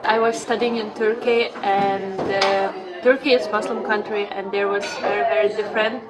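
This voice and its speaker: A woman's voice: medium pitch, steady tone, normal speed, poor audio. A woman from Lithuania, speaking in simple, direct phrases. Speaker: interviewee. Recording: loud crowd behind.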